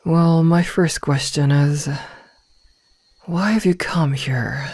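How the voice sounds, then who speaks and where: A woman playing a possessive werewolf from a pack, smitten yet threatening, with deliberate, partly whispered delivery in an ASMR roleplay. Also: low voice, darkish tone, dry recording, full character voice. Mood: guarded, commanding. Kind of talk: ASMR roleplay audio drama. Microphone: close, dry microphone.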